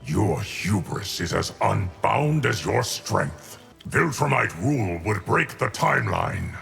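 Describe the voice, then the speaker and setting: An actor playing an ominous otherworldly immortal with a gravelly voice in a fighting game. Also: male voice, on the low side, sing-song, takes it slowly, a bit bright, faint music behind, exaggerated voice. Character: ominous, otherworldly immortal being who haunts timelines. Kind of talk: fighting game character dialogue.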